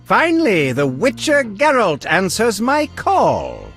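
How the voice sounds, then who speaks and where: A man's voice: sits high, wildly swooping pitch, slow pace, a bit bright, heavily acted. A man playing an eccentric, pompous, absent-minded alchemist in a video game, with theatrical delivery. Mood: self-important. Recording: music behind.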